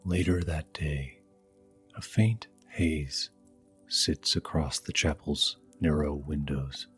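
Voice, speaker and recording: A man narrating a scripted ASMR fantasy game as game master, hushed and deliberate, with dramatic pauses. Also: rumbling low, steady tone, faint music behind.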